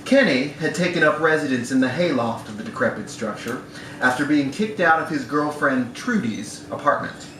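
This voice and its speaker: A man's voice: medium pitch, expressive, moderate pace, a bit bright. A man giving a wry live reading of a literary short story, performed as a storyteller. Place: bar room at a live event. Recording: echoey, noisy live room, distant mic.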